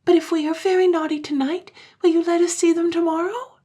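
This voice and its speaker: A woman voicing a group of eager young children who plead in high, urgent voices. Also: little pitch movement, a little fast, dark tone.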